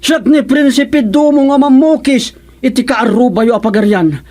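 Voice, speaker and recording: A man's voice: extremely high pitch, moderate pace, a little mellow. A man playing a hapless fake prince who poses as a barber in a Filipino comedy radio play, with a Filipino accent and a stammering, pleading delivery. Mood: boastful. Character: hapless comic impostor prince posing as royal barber.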